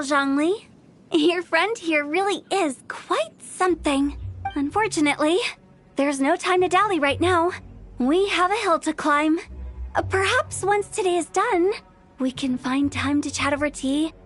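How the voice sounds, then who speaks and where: A woman's voice: extremely high pitch, measured pace, soft background music. A woman voicing a quirky, inquisitive teenage girl director in an anime game, with a teasing, chatty, theatrical way of talking. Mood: intrigued.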